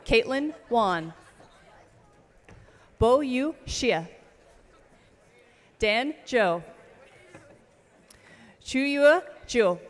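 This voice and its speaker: A woman reading out graduate names at an outdoor commencement, slow and measured, over a PA system. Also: a little high, lively intonation. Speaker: name reader at commencement. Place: outdoor ceremony stage. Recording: long reverb of outdoor PA.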